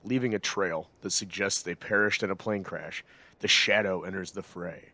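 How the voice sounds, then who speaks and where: A man's voice: deep voice, fairly even intonation, moderate pace, sharp tone, dry recording. A man reading a scripted introduction as the host of an old-time radio programme.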